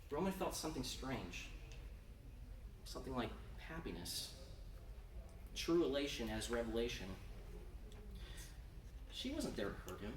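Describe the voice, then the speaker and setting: Male author, dramatic story reading, theatrical emphasis, distant mic, roomy echo. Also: medium pitch. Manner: intense, theatrical, with emphatic pauses. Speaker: author reading own fiction. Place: indoor convention reading room. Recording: roomy, distant, noisy live event recording.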